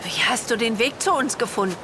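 A woman's voice: fairly high pitch, lively intonation, quick pace, background noise. A woman playing an earnest mystical fighter of good in a horror audio drama, speaking solemnly, formally and urgently.

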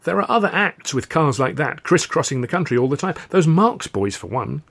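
A man's voice: on the high side, sing-song, fairly quick, creaky, a bit bright, pitched above the performer's own voice, exaggerated voice. An anxious young wife with a lifted, pleading voice, as a male narrator puts her on. She talks eagerly and presses her plans with rising urgency in a spoken storybook.

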